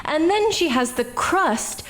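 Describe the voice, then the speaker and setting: An actress playing a defensive, self-righteous middle-aged woman testifying at an inquest, talking in an indignant, sharp-tongued way. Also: sits high, lively intonation, takes it slowly. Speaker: witness at an inquest. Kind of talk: 1940s radio acting.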